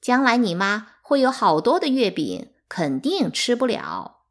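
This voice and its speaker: A woman's voice: medium pitch, wildly swooping pitch, unhurried, fairly bright tone, heavily acted. An imperious matron from a storybook, played by a woman reader. She is spiteful and mocking under a syrupy sweetness, every phrase loaded with insinuation. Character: domineering, falsely sweet wealthy wife, spiteful and mocking.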